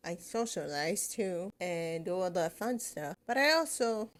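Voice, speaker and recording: A woman's voice: lowish voice, expressive, unhurried. A young woman whose first language is Spanish, hosting a podcast and telling her own story in a casual, rambling way, often restarting her sentences. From United States. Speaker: podcast host.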